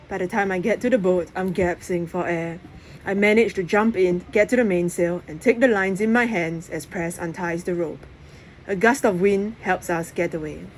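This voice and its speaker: A woman reading a children's novel aloud in expressive narration. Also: lowish voice, poor audio. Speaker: audiobook reader. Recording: clear background noise.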